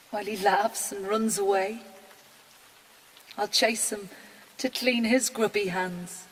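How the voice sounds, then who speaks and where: Slow, wistful grieving mother. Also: mid-range voice, slight background noise, poor audio. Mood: tender, wistful. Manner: slow, reflective, often hushed to breathy near-whisper. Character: grieving Irish mother and wife, wistful, quietly stoic. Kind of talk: intimate audio-play monologue.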